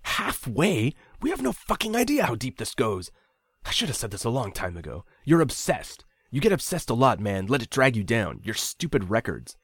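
A man's voice: medium pitch, big pitch swings, a little fast, few pauses, slightly rough, no room sound, studio quality, pitched above the performer's own voice. A man reading a story aloud, voicing a frightened, angry young man who pleads, accuses and swears often. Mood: panicked, furious.

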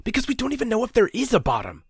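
A man's voice: mid-range voice, big pitch swings, brisk, breathy edge, no room sound, clean studio sound, voice pushed up. A man reading a story aloud, voicing a frightened, angry young man who pleads, accuses and swears often. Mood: panicked, furious.

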